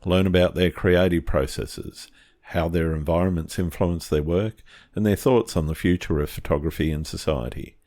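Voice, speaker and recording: Aussie guy hosting a podcast, really low voice, friendly and easy. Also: fairly even intonation, moderate pace, a little mellow. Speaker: podcast host. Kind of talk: interview podcast.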